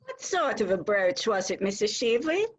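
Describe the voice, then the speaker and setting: A woman's voice: mid-range voice, very animated, normal speed. A woman playing an earnest, proper Victorian wife and hostess, formal and polite, in a dramatic reading. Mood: polite, reserved. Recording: slightly dull, lo-fi sound.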